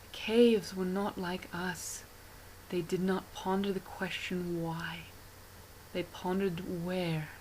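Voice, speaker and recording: Lady doing a horrified witness, gothic horror monologue, breathy n grave, slow. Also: lowish voice, flat delivery, a little mellow, slight background noise, low-quality recording. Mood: hushed dread. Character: haunted, horrified witness narrating cosmic horror.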